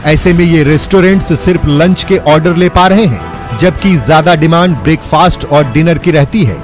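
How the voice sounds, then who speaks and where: A man's voice: a little high, normal speed. A man reading scripted news narration as a voice-over. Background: faint music bed.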